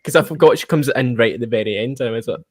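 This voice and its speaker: A Scottish man hosting a casual live chat in an excitable, chatty manner. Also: medium pitch, racing, a crowd in the background. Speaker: podcast host and audio drama producer.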